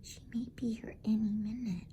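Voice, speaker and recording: A woman's voice: fairly high pitch, steady tone, normal speed, mellow, slight background noise, pitched above the performer's own voice, close to an everyday voice. A woman voicing an anxious, urgent young girl in a whispered bedtime storybook reading. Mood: worried. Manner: anxious, urging.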